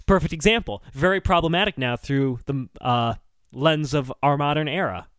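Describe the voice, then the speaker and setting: A man's voice: fairly high pitch, moderate pace, fairly bright tone. A man co-hosting a comedy podcast, chatting casually in a teasing, mock-scolding way. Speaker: podcast co-host.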